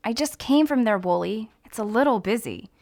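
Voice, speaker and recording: Female sidekick acted by a woman reader, higher voice, matter-of-fact and wry, lively audiobook delivery. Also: normal speed, no echo, studio quality. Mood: dry, exasperated. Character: brisk, wry female companion to a sleuth.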